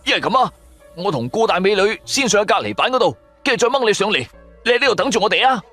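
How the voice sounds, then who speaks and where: A man's voice: high voice, expressive, fast talker, pushed and bright, faint music behind. A man with a Cantonese accent narrating a suspenseful adventure story in the first person, with theatrical, emphatic delivery. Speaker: audiobook narrator.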